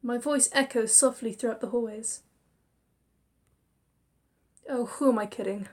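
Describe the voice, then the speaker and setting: Woman, expressive narration, fairly high pitch, chatty asides. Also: a little slow. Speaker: reader and narrator. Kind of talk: narrating a visual novel with inner monologue.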